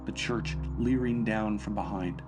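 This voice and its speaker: A man's voice: on the low side, unhurried. A man reading a scripted first-person horror story as its narrator. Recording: narration over background music.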